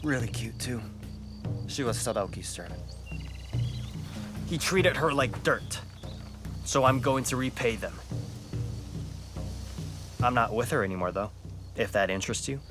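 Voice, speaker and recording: A man's voice: medium pitch, animated, measured pace, long pauses, over music, natural voice. An actor playing a cocky, boastful young man who loves a fight and can't read. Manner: boastful, easygoing.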